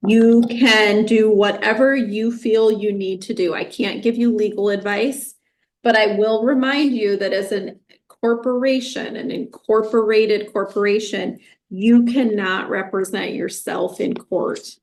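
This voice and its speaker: A woman speaking as a judge in a courtroom, measured, firm and formal as she rules. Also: medium pitch, fairly even intonation. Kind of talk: courtroom ruling.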